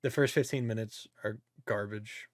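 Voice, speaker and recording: A man's voice: fairly low pitch, steady tone, normal speed, no echo, clean studio sound. A man co-hosting a podcast, ranting casually and emphatically with strong opinions about films. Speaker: podcast co-host. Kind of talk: casual opinionated movie chat.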